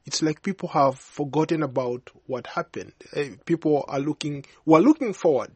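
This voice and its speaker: A man from Uganda with a Ugandan accent, giving halting, reflective answers as a guest in an interview. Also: a little high, breathy edge, no room sound. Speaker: studio guest.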